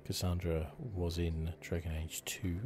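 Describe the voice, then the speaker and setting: Very deep, soft-spoken man. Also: completely flat delivery, measured pace. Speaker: gaming video host. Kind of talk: ASMR game commentary. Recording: clearly noisy, game ambience behind. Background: video game sound.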